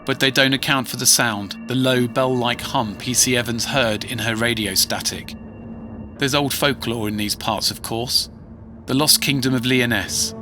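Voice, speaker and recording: A man narrates a found-recording archive in a measured, grave voice. Music plays clearly behind him. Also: mid-range voice. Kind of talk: scripted narration.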